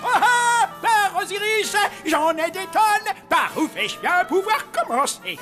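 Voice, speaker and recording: A woman's voice: very high-pitched, big pitch swings, takes it slowly, sharp tone, faint music behind, heavily acted. A woman voicing a dizzy, party-loving ancient mummy in a cartoon, exuberant and rambling with bursts of rhythmic chanting. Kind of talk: French animated series voice acting.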